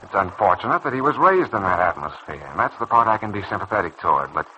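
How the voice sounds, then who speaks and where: A man's voice: sits low, animated, fast talker, bright, forceful tone. A man acting in a vintage radio soap as a stubborn, judgmental young doctor, insistent and defensive. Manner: insistent, earnest, defensive delivery. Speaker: radio serial actor. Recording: dull, noisy old radio recording.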